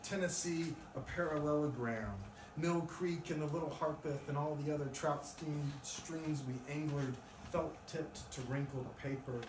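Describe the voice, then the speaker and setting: A male poet speaks at a live reading in a room. His voice is picked up from a distance with plenty of echo. There is clear, loud noise over the recording. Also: mid-range voice. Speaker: poet reading own work. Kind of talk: live poetry reading with casual introduction. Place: live event in a room with an audience.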